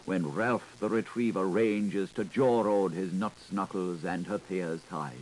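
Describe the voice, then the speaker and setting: A man reading a literary audiobook in a theatrical, deliberate, declaiming manner, on a 1980s recording. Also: low-pitched, fairly even intonation, a little mellow, a little hiss or hum, poor audio. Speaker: audiobook reader.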